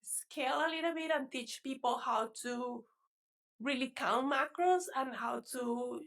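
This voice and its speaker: A woman's voice: sits high, unhurried, fairly bright tone. A woman from Venezuela with a Venezuelan Spanish accent, a native Spanish speaker, chatting as a guest about her fitness coaching. She talks in a chatty way, laughs often and restarts words. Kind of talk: casual interview chat.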